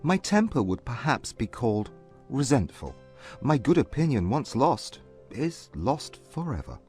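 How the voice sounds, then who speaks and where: A man playing a proud, aloof Regency gentleman in a dramatized audiobook, speaking formally and with measured haughtiness. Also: medium pitch, very animated. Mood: grave, self-assured. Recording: music bed under the voice.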